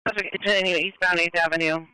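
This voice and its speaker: A man's voice: sits high, fairly even intonation, fast talker, sharp tone, clean tone, no echo, rough recording. A man working as a police radio dispatcher, relaying pursuit updates in a clipped, procedural way over a scanner. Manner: clipped, procedural, repeating reports. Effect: police radio transmission.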